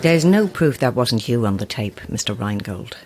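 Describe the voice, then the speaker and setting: An actress in an audio drama playing a sceptical middle-aged woman novelist with a husky voice and wry, deadpan wit. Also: a bass voice, moderate pace, over a noisy crowd, heavy background noise. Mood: sceptical, dry. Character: sceptical, dry-witted mature female horror novelist.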